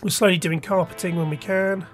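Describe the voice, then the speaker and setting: A man's voice: high voice, steady tone, a little fast, soft background music. A man with a cold and a slightly nasal voice, casually thinking aloud with restarts as he talks through his game. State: stuffy nose from a cold. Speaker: let's play host. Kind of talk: casual gameplay commentary.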